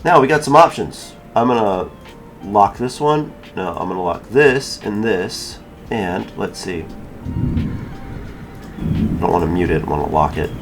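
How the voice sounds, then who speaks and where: A man giving a casual software tutorial, informally thinking aloud as he works through each step. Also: sits low, expressive, unhurried, long pauses, over music. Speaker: tutorial creator.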